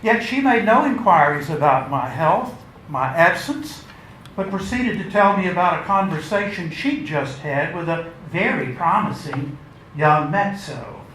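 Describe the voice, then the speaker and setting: A man giving a measured, theatrical and wry reading of literary fiction at a live event. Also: fairly high pitch, lively intonation, a bit bright. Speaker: author reading aloud. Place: indoor launch event before an audience. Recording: roomy live event, faint noise.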